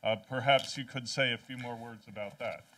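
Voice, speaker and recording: A man's voice: medium pitch, steady tone, normal speed, sharp tone. Hesitant older man asking from the audience. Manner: hesitant, with many fillers. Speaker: audience member asking a question. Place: large conference hall, in the audience. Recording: distant conference hall sound.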